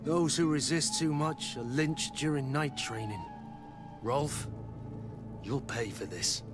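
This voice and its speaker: A man's voice: mid-range voice, slow, music in the background, close to an everyday voice. An actor playing an honour-bound soldier captain in a video game, protective of his recruits, solemn and intense. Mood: grim.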